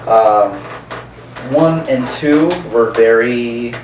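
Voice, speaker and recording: Man, mid-pitched voice, jokey informal co-host, echoey distant mic. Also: drawn-out words, darkish tone, a little hiss or hum. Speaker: fill-in co-host. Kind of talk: casual movie chat. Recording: echoey room, distant mic, faint ambience.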